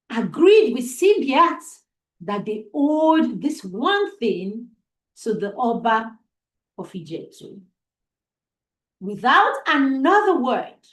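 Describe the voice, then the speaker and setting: A woman storyteller with a high, very animated voice tells a tale for children. She goes slowly and deliberately, building suspense, and the room around her sounds echoey. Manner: deliberate, suspenseful, repeats phrases for emphasis. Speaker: storyteller, host of a storytime show. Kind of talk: dramatic oral storytelling for children.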